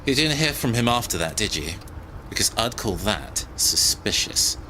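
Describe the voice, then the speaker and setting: Awkward tech guy, bright tone, lively intonation, hesitant, sci-fi audio drama. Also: fairly low pitch, moderate pace, slight background noise, low-quality recording. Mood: suspicious, aggrieved. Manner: hesitant, chatty, slightly awkward. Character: hesitant, suspicious, mild-mannered male tech guy. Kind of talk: science fiction audio drama acting.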